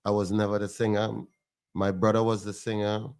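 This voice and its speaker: Man, Trinidadian accent, deep voice, low-pitched, confident and laid-back, interview guest. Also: little pitch movement, normal speed, a little mellow, clear, resonant tone. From Trinidad and Tobago. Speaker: soca artist guest. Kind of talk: casual interview chat.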